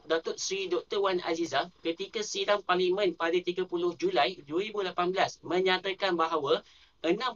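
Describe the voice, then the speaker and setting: A man with a Malaysian Malay accent, a personal finance YouTuber, giving a scripted explainer. He reads figures and statistics in a steady, deliberate way. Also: sits high, muffled recording.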